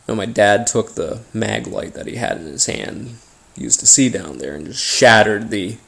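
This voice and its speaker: American man, slow delivery, gravelly fry, halting phrases, informal paranormal storytelling. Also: fairly low pitch. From Appalachian region of the United States. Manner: halting, pausing between short phrases. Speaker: host and narrator of a paranormal story series. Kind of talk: informal first-person spooky storytelling.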